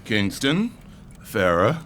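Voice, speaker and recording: A man's voice: lowish voice, big pitch swings, slow pace, fairly bright tone, full character voice. A man playing a stern, domineering middle-aged father, a powerful politician, speaking coldly and formally in an audio drama. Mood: cool. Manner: cold, imperious, formal.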